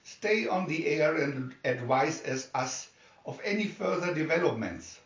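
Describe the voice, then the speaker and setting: A steady male rescue operator, acted by a man reading a storybook answers briskly and formally, reassuring the listener. The sound is muffled and echoey. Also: a little high. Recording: muffled, echoey room, distant mic.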